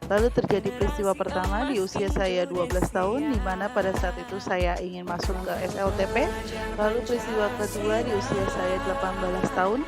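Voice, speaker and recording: Woman in her 30s reading out her assignment, steady and formal, loud music behind. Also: medium pitch, fairly quick. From Indonesia. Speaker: teacher-training candidate. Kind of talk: reading a prepared assignment presentation.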